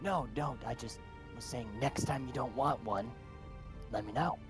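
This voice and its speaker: Deadpan man roleplaying at a game table. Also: medium pitch, moderate pace, music in the background. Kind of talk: in-character improvised roleplay.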